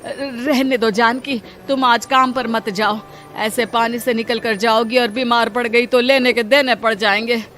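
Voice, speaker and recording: A woman's voice: fairly high pitch, fairly even intonation, a little slow, fairly bright tone, soft background music. A woman voicing a poor, caring husband, earnest and protective, in a cartoon moral story. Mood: protective concern. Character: poor, caring, worried husband living in a slum hut.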